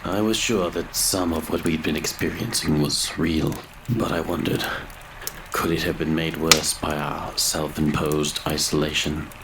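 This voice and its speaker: A man playing a haunted grave robber who narrates a gothic horror audio drama in brooding, literary, measured phrases. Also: a bass voice, steady tone, background noise. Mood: uneasy, wry. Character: haunted male grave robber narrating his grim confession.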